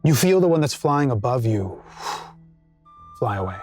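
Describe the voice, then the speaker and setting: Man, game master, slow descriptive narration, faint music behind. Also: mid-range voice, sing-song, darkish tone.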